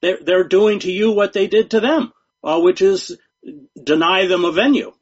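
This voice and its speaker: A man speaking as a guest journalist in an interview, talking in a measured, emphatic way and often repeating words. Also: high voice, animated, gravelly, husky. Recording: dull lo-fi sound.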